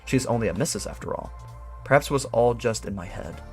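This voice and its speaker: A man voicing a brooding, suspicious employee, reading an eerie memo in a measured, musing and ominous way. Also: medium pitch, lively intonation, gravelly, darkish tone, faint music behind. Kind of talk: dramatic reading of a written note.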